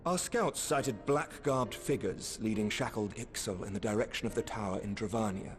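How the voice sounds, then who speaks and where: An actor playing a sober, articulate officer with formal, slightly old-fashioned speech in a video game cutscene. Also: male voice, fairly low pitch, normal speed, close to an everyday voice. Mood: grave. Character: sober, formal male officer reporting grave news. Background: soft background music.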